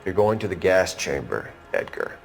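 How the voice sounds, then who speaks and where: An actor playing an earnest, stern young police detective in a video game, speaking formally and forcefully. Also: male voice, deep voice, very animated, moderate pace, creaky, faint music behind, full character voice. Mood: accusing. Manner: formal, clipped, forceful in questioning. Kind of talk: video game dramatic acting.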